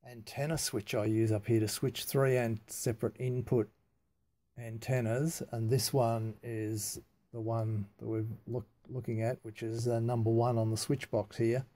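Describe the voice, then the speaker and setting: A man talking casually and haltingly through a hands-on technical demonstration, unscripted and matter-of-fact. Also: medium pitch, level tone, warm tone. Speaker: amateur radio hobbyist demonstrating antenna tuning.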